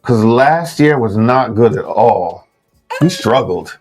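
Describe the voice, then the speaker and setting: A man's voice: mid-range voice, expressive, slow, a little mellow, soft background music. Casual male recap show host. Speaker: podcast host signing off the show. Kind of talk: casual recap panel chat.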